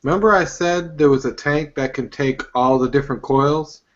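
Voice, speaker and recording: A man's voice: on the high side, normal speed, muffled recording. A man co-hosting a casual live show, chatty and rambling, with lots of fillers as he recalls details.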